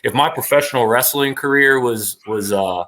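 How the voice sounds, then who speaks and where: A man's voice: medium pitch, moderate pace, bright, forceful tone. A middle-aged man chatting casually as an interview guest on a livestream, emphatic and repeating points for stress. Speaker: interview guest, actor and former professional wrestler.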